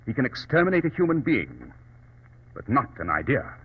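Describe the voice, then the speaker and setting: A self-assured male detective with a slightly rough voice. His delivery is formal and theatrical, swinging widely in pitch. It comes through a narrow, scratchy 1940s radio recording. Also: huge pitch swings, normal speed. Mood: grave, measured. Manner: crisply formal, sardonic, theatrical.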